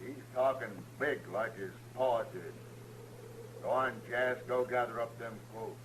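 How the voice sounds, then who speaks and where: A man's voice: mid-range voice, steady tone, unhurried, a bit bright, lightly acted. Man playing a cold, menacing old rancher. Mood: cold, threatening. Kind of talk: 1950s radio western acting. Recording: noisy old radio recording.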